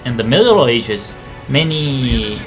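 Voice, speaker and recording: Male gaming commentator, average pitch, informal and explanatory, talking over prominent game music. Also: big pitch swings, slow, rough recording. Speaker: video game player and commentator. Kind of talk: casual gameplay commentary. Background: game music and aircraft sounds.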